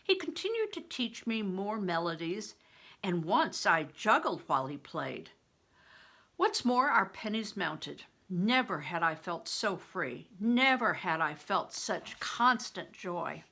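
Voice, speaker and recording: An older woman reading a storybook aloud as a grandmother, in an expressive, deliberate way. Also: mid-range voice, creaky. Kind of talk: storybook narration with explanatory asides.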